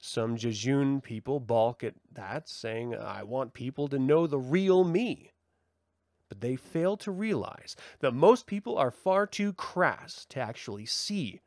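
A man reading a formal essay aloud as a host, with measured, emphatic delivery. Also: medium pitch, no echo, studio quality. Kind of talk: expressive scripted essay reading.

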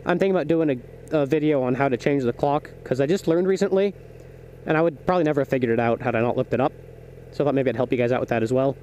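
A man's voice: mid-range voice, fast. A young man rambling casually to viewers, thinking aloud and correcting himself, on a helmet microphone as he rides a motorcycle. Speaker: motovlogger. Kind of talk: casual vlog chat while riding. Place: inside a helmet, riding a motorcycle outdoors. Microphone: small microphone inside the helmet. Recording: loud engine, wind and road noise.

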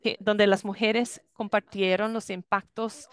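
A woman interprets a talk live, carrying each point across in a steady, fluent flow. Her voice comes through a video call microphone. Its tone is exceptionally clean and smooth. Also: medium pitch, unhurried, no room sound. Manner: steady, fluent rendering with brief restarts. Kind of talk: live consecutive interpretation into Spanish.

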